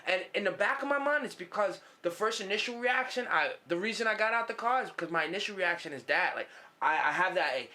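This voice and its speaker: A man's voice: high-pitched, fast talker. A young man hosting a casual podcast, telling stories with lots of swearing and acted-out quotes. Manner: animated storytelling, heavy swearing, acts out quotes. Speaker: podcast host. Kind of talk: casual chat with an old friend.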